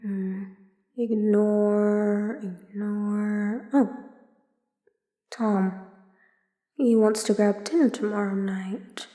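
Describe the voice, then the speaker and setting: A woman's voice: mid-range voice, fairly even intonation, extremely slow, pauses often, warm tone, dry recording, clean studio sound, soft background music. A woman playing a guarded, protective police chief and weary, stubborn older sister in an audio drama. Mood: weary, muttering.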